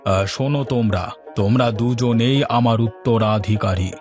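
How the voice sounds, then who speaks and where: A man's voice: medium pitch, a little slow, a little mellow, soft background music, close to an everyday voice. A man voicing a stately old landlord father in a cartoon, speaking formally and with command. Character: stately old father, landlord testing his two sons. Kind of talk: Bengali cartoon dubbing.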